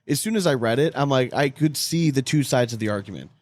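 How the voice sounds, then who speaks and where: A man with a slightly low voice talks as a podcast co-host. He rambles emphatically with a sarcastic edge and some swearing, and the sound is dry and close. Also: animated, quick pace, darkish tone, dry recording. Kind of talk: casual banter, profane.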